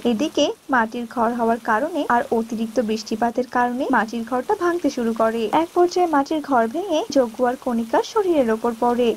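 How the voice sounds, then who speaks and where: High-voiced woman storyteller, faint background noise. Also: a little mellow, low-quality recording. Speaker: story narrator. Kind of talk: scripted children's folk tale narration.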